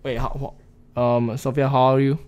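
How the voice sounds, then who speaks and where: A man's voice: mid-range voice, level tone, moderate pace, darkish tone, smooth. A young man hosting a casual stream chat, talking in slang, stammering and repeating his words. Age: young adult. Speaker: streamer host. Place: small bedroom streaming setup.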